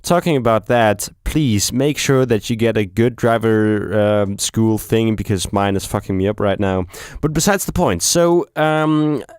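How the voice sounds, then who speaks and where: A man's voice: lowish voice, unhurried, a little mellow, clear, resonant tone, dry recording, studio quality. A young man with a German accent, chatting casually to camera in a rambling way with lots of fillers and restarts. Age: young adult. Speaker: YouTuber. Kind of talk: casual vlog chat.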